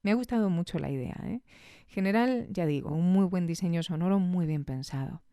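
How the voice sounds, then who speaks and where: A woman's voice: on the low side, animated, brisk, warm tone, clean tone, dry recording, clean studio sound. A woman with an accent from Spain hosting a podcast, giving a casual, reflective spoken review with conversational tag questions.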